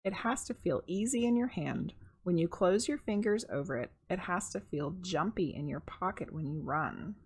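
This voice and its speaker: A middle-aged woman reading a children's picture book aloud, expressive and conversational, with casual asides to her viewers and a slightly husky voice. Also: on the low side, moderate pace, hardly any pauses.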